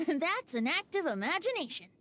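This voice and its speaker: A woman's voice: very high voice, very animated, moderate pace, sharp tone, slight background noise, full character voice. A woman voicing a playful young male bard in a video game, with a teasing, theatrical manner. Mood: amused. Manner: teasing, florid, amused.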